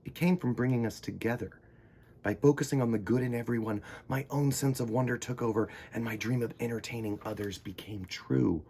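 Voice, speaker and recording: A man reading a children's picture book aloud in the first person, theatrical, sometimes dropping into hushed emphasis. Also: medium pitch, fairly quick, gravelly, slightly rough. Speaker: children's storytime reader.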